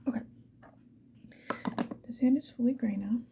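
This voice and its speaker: A woman's voice: a little high, normal speed, gravelly, mellow, slight background noise. A woman whispering breathily in a casual, rambling ASMR style, unhurriedly thinking aloud. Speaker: ASMR video creator.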